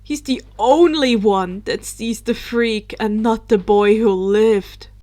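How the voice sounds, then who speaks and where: A woman plays a teenage wizard boy who blames himself for everything. The delivery is very slow and halting, dejected, with words repeated as the storybook character struggles on. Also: medium pitch, natural voice.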